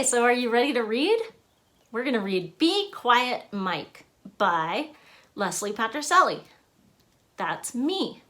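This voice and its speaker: Female storybook reader, high and very animated, unhurried delivery, expressive with rhymes and sound effects. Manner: expressive, emphatic sound words. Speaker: author and illustrator reading own book. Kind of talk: read-aloud of rhyming children's picture book.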